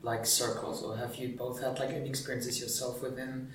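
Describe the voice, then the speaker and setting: A man's voice: mid-range voice, level tone, fairly quick. Distant, tentative man in a very echoey room. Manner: tentative, heavy use of "like". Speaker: interviewer. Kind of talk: interview questions. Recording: distant, very echoey, faint hum.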